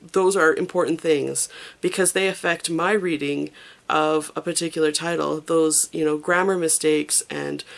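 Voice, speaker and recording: A manga review vlogger explaining their views to camera, casual and thoughtful, pausing often with fillers and stressing pet peeves. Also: medium pitch, creaky.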